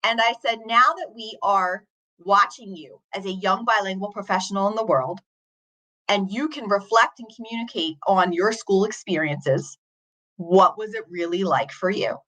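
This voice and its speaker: A woman teacher and author, a guest on an interview show, telling a story in an earnest, steady, reflective way. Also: medium pitch, normal speed, rough recording.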